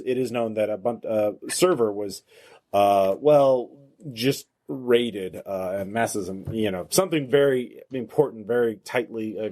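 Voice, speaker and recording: A man hosting a tabletop game as game master, narrating off the cuff in a halting, hesitant way. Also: on the low side, dark tone.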